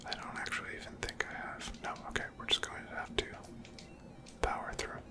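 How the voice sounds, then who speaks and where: Soft whispered ASMR game commentary. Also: a little fast. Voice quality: breathy, unvoiced whisper. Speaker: video game streamer narrating own playthrough.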